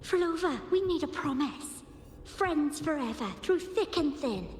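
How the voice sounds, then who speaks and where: A woman's voice: high voice, animated, measured pace, darkish tone. A woman voicing an earnest, affectionate young girl, a devoted friend in a game. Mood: warm, earnest. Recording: long echoing reverb, faint music.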